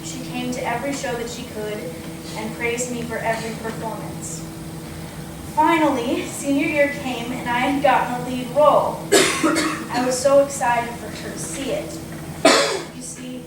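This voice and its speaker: A woman's voice: a little high, steady tone, moderate pace. A young woman reading a prepared, heartfelt eulogy to a gathering, earnest with touches of dry humour. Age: young adult. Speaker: niece giving a memorial tribute. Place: indoor memorial gathering at an acting studio. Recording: very echoey, distant, noisy room recording.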